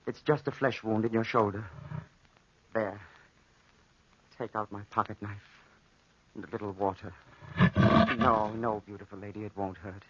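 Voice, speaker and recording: An actor playing an obsessed, lovelorn soldier in a 1940s radio drama, with a brooding, melodramatic delivery. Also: male voice, mid-range voice, breathy edge. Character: obsessed, lovelorn soldier talking tenderly to a panther. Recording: dull, muffled 1940s radio recording.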